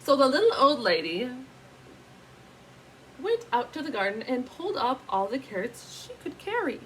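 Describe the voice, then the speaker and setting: High voiced lady doing storytime for kids, super expressive, asks little questions, some noise behind. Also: normal speed, poor audio. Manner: teacherly, prompting questions. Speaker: storyteller reading a picture book to children. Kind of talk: animated read-aloud with asides to young listeners.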